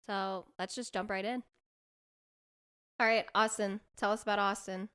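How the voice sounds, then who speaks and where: Twenties female co-host, smooth clear voice, relaxed chatty delivery. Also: medium pitch, moderate pace. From Colorado, USA. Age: 20s. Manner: relaxed, chatty, laughs between words. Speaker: podcast co-host. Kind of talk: casual chat.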